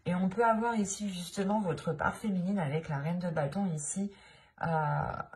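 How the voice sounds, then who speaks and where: French-accented woman, tarot reader, lowish voice, hesitant, many fillers, restarts, searching for words. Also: moderate pace, darkish tone. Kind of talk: tarot card reading to camera.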